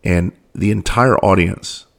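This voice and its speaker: A man, hoarse and losing his voice, hosting his own podcast in a candid, earnest monologue full of restarts and soft asides. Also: sits low. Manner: earnest, halting with frequent restarts and filler, drops into soft asides. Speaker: podcast host and mental health speaker.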